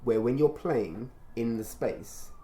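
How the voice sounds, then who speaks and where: A man talking informally as a mentor, explaining things in a measured, reflective and encouraging way. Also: mid-range voice, mellow, slight background noise. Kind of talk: informal testimonial conversation with a child.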